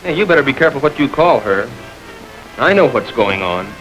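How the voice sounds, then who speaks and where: A man's voice: mid-range voice, sing-song, a little fast, fairly bright tone, dull audio, faint music behind. An earnest, defiant young man. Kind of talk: 1930s crime film acting.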